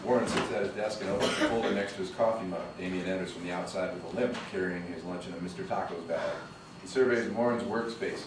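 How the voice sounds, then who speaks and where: A man reading the stage directions at a screenplay table read, in a brisk, matter-of-fact way. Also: low-pitched, fairly even intonation. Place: studio room. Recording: very echoey room from a distance, background noise.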